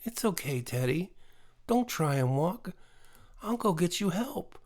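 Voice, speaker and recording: Man playing a little girl, gentle, earnest, reassuring, raised pitch. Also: big pitch swings, a little slow, heavily acted.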